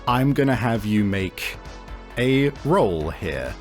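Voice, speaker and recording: Low-voiced man running a tabletop game. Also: very animated, slow, soft background music. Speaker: game master. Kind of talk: improvised narration of a tabletop game.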